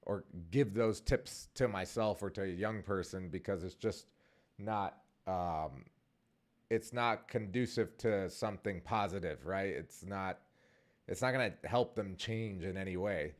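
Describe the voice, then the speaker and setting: Low-voiced guy in his 40s, chatty vlog ramble, lots of ums and restarts. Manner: rambling, conversational, many fillers and restarts. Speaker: vlogger and podcast host. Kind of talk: casual personal vlog.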